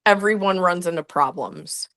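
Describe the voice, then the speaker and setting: A woman's voice: on the low side, sing-song, normal speed, fairly bright tone. A young woman, a guest historian, answering interview questions in a chatty way full of "like" and restarts.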